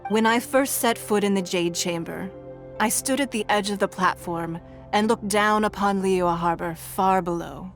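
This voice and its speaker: An actress playing a poised, shrewd and wealthy stateswoman in a video game, speaking in a formal, measured, composed manner. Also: mid-range voice, lightly acted. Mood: solemn. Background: music.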